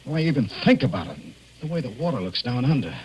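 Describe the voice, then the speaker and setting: An actor playing a haunted, fearful husband, a big deep-sea diver, in anguished 1940s radio style. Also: male voice, very animated, fast, slight background noise, lightly acted, poor audio. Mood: anguished, dreading. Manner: anguished, pleading. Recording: 1940s radio drama with faint music.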